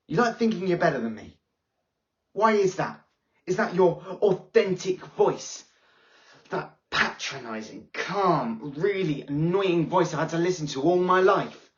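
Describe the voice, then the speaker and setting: An actress playing an angry excluded pupil who bitterly accuses a teacher, delivering a slow dramatic monologue. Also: female voice, lowish voice, lively intonation, lo-fi sound. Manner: bitter, accusing, rising to yelling.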